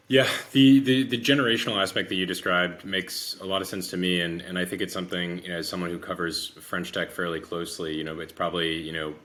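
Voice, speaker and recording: A man's voice: low voice, fairly bright tone. An American man hosting a conversational interview, asking thoughtful, long-winded questions. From United States. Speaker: podcast host and interviewer.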